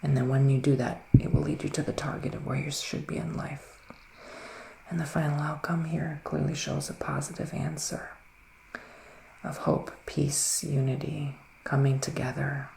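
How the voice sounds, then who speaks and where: A man plays a gentle psychic witch in an ASMR roleplay, his voice heavily creaky and breathy, drifting between soft talk and whispering. He is soothing and unhurried in manner. The room adds noticeable echo, with faint noise underneath. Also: a little high, little pitch movement. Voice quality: breathy, often slipping into whisper. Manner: slow, hushed, soothing and reassuring. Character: gentle psychic male witch giving a reading. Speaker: ASMR performer playing a psychic reader. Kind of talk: ASMR roleplay, improvised tarot reading.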